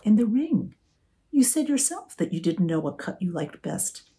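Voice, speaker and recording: A woman's voice: medium pitch, very animated, moderate pace, mellow, pitched above the performer's own voice. Woman voicing an earnest young man, lovestruck, a little pleading. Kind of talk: audiobook character voice.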